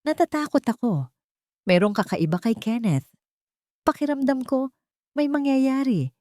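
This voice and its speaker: A woman's voice: high voice, animated, unhurried, darkish tone, no room sound, voice pushed up. Woman doing a scared young filipina reading out her secret diary, earnest and uneasy, audiobook drama, dry sound. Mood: fearful. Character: frightened young woman confiding in her journal.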